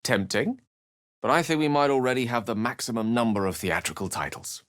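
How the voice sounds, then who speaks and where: A man's voice: medium pitch, brisk, a bit bright, no room sound, studio quality, natural voice. A man voicing an eloquent, courtly wizard companion in a fantasy video game, speaking formally and theatrically. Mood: wry, light. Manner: articulate, formal, theatrical phrasing. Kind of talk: fantasy video game voice acting, dramatic narration and dialogue.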